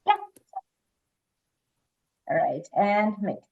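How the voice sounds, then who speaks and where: A woman's voice: mid-range voice, lively intonation, drawn-out words, creaky, phone-quality sound. A woman reading quiz questions and moderating casually as host.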